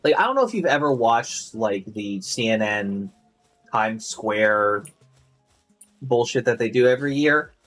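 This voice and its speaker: A young man streaming a game from home on a headset, chatting loudly and casually, swearing and restarting often. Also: on the low side, animated, unhurried, faint music behind. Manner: loud, rambling, swears freely, many restarts. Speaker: streamer and podcast host. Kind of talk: casual chat while gaming. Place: home room at a gaming desk.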